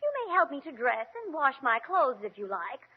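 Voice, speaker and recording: A woman's voice: sits high, very animated, moderate pace, slight background noise. A woman playing a vain, spiteful young stepsister in a snooty, whiny, theatrical manner, in old radio play style. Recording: muffled old radio recording.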